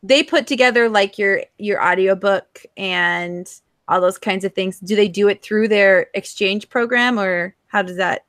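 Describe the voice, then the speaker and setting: A woman's voice: medium pitch, unhurried, fairly bright tone, dry recording, clean studio sound. An American woman hosting a podcast, asking questions in an informal, chatty way. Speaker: podcast host. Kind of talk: casual interview chat.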